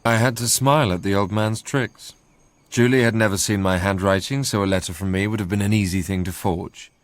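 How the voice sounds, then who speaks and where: A man playing a brooding, proud young narrator in a radio drama, telling his story in measured literary phrases. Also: lowish voice, steady tone, no room sound, lightly acted. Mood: intent, troubled. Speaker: first-person narrator.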